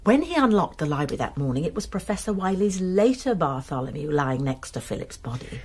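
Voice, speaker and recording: An actress playing a college nurse turned amateur sleuth in a BBC radio drama, explaining a case briskly. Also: female voice, deep voice, sing-song, darkish tone, breathy edge, heavily acted.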